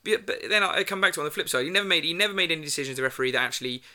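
Male football podcaster, rapid and sharp, slightly croaky, rambling and self-correcting. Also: on the high side, animated. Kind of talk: casual football chat.

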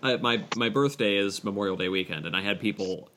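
A man's voice: fairly low pitch, fairly quick, bright, forceful tone, a little hiss or hum. A man co-hosting a casual podcast among friends, talking in a hesitant, dryly analytical way. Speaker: podcast co-host.

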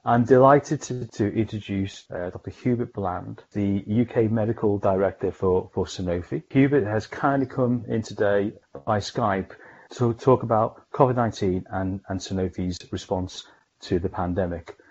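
A man hosts an interview with polite, tentative questions and frequent repeated words. His voice is fairly low. The recording sounds muffled and lo-fi. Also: moderate pace, darkish tone, husky. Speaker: podcast host and chief scientist. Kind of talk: interview hosting.

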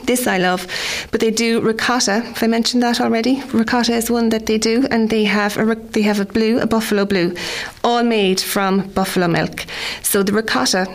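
A woman's voice: fairly high pitch, steady tone, normal speed, few pauses. An Irish woman in her forties, chatting casually on radio about food, rambling with frequent restarts. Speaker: food contributor.

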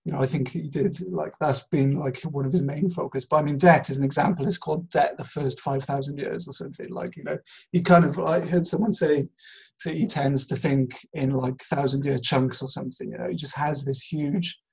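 Guy with a raspy, creaky voice, kinda higher pitched, rambling through ideas in a casual chat. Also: steady tone, fairly quick, darkish tone, rough recording. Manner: hesitant, rambling explanation with hedging. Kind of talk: casual intellectual discussion.

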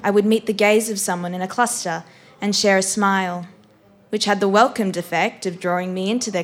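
Young woman, medium pitch, expressive literary reading, storyteller delivery, acted dialogue. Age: young adult. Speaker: performing arts graduate reading a story aloud.

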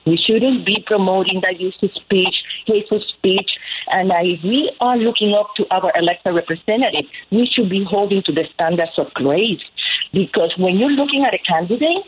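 A woman's voice: medium pitch, normal speed. A woman with a Puerto Rican accent giving impromptu personal testimony as a guest, earnest and oratorical, often in threes. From Puerto Rico. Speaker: panel guest. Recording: dull, lo-fi call with noise.

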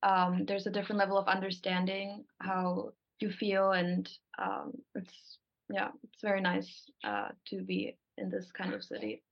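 A woman's voice: mid-range voice, unhurried, gravelly, dull audio. A young adult woman born in Germany, a guest talking in a casual conversation, thoughtful and hesitant with many fillers.

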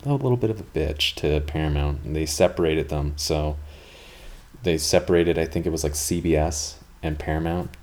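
A man's voice: very deep voice, normal speed, darkish tone. A man in his thirties from Canada, hosting a podcast in a casual, rambling monologue full of fillers and asides. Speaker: podcast host.

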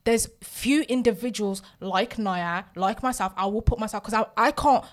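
A woman's voice: medium pitch, moderate pace. Young woman, podcast co-host, talks over people, keeps saying "like". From Birmingham, England. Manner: insistent, talks over others, repeats "like". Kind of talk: casual debate.

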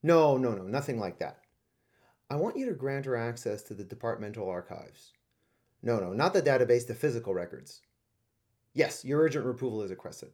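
A man's voice: medium pitch, lively intonation, fairly quick, darkish tone, lightly acted. A man acting in a radio play as a businesslike, wry government official helping an investigation. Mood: brisk, insistent.

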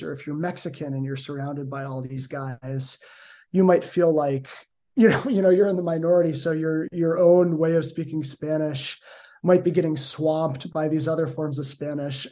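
Middle-aged male academic guest, mellow tone, hedging and thoughtful. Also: on the high side. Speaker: guest, linguistics professor. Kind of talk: conversational interview answers.

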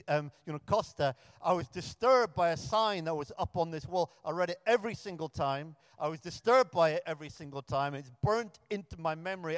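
A man in his thirties, raised in Africa, preaching in a casual, self-deprecating way and often restarting his sentences. His voice sits a little high for a man. The church around him leaves a long, ringing reverb. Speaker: preacher, pioneer minister. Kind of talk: sermon with storytelling.

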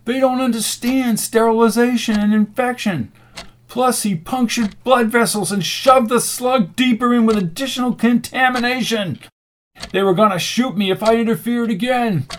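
A man's voice: sits high, unhurried, few pauses, slight background noise, voice pushed up. A man voicing an urgent, hot-tempered male medic in an audiobook, emphatic and exclaiming. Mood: agitated.

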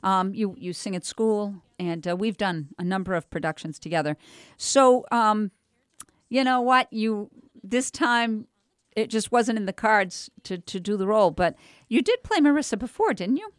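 A woman's voice: medium pitch, animated, normal speed, no room sound, clean studio sound. A middle-aged woman hosting a chatty radio interview in an effusive, encouraging way. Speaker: radio host and voice teacher interviewing young performers. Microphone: studio microphone with headsets.

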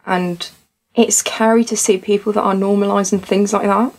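A woman's voice: mid-range voice, little pitch movement, normal speed, darkish tone. A young woman from Tunisia, a student society founder, talking earnestly and reflectively in a podcast conversation.

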